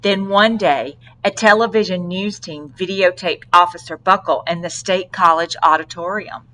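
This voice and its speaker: A woman narrating a children's storybook aloud with deliberate, dramatic phrasing. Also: fairly low pitch, steady tone, bright, forceful tone, poor audio. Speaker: storybook reader.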